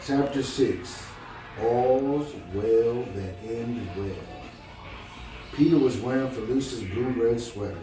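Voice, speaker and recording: A man reading a storybook aloud in an encouraging, conversational manner, pausing to check that the listener follows. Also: medium pitch, warm tone. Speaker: storybook reader. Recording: very echoey, distant mic, music behind.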